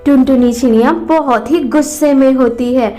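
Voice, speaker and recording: A woman's voice: sits high, fairly even intonation, normal speed, dark tone, soft background music. A woman narrating a scripted children's cartoon story.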